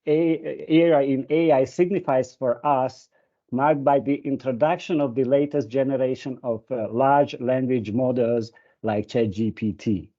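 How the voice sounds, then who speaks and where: A man's voice: mid-range voice, steady tone, unhurried, mellow. A Hungarian-speaking man giving a webinar presentation, hesitant, with many fillers and restarts. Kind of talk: presentation with an anecdote. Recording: muffled video call audio.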